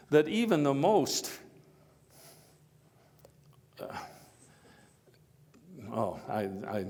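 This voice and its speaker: An actor playing an earnest, folksy statesman orator of early 1900s America in a theatrical first-person speech, emphatic but given to halting digressions. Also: mid-range voice, a little mellow. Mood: folksy, digressing. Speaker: living-history performer. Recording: echoey hall with long reverb.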